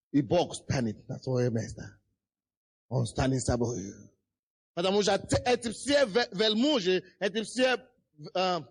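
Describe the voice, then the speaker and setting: Fiery pastor, man, high voice, non-native accent, shouts and chants his sermon. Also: breathy edge. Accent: non-native Russian. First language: not Russian. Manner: impassioned preaching, shouting and chanting. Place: church.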